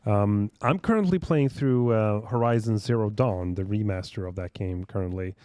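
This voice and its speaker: Man, low, dark mellow tone, dry close sound, rambling livestream host. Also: moderate pace, dry recording. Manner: chatty, rambling, reads out viewer questions. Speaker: stream host. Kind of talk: casual livestream chat.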